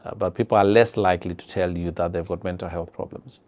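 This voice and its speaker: A man's voice: low-pitched, fairly even intonation, fast, a little mellow. A man with a Zimbabwean accent, a health professional giving an earnest, explanatory interview.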